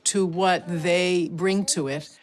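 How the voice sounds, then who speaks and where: A woman's voice: lowish voice, steady tone, takes it slowly. A woman teacher speaking thoughtfully and conversationally in an interview. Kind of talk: casual interview.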